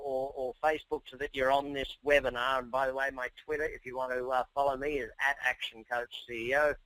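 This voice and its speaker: Bright-voiced Australian man coaching by webinar. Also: medium pitch, no echo, poor audio. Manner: energetic, persuasive, talks straight to listeners. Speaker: business coach. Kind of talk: webinar presentation. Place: office or home.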